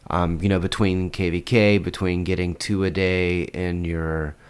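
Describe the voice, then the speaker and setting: Congested man, very low voice, rambling casual game guide talk. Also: level tone, measured pace, clear, resonant tone. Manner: rambling, many fillers and restarts. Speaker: game strategy guide host.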